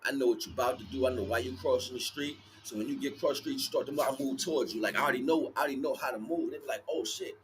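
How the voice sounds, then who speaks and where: Male spiritual livestream speaker, mid-pitched, slangy and profane, emphatic with repetition, slightly noisy recording. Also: very fast, hardly any pauses. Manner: casual street slang with frequent swearing; emphatic, repeats phrases. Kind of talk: rambling motivational spiritual talk.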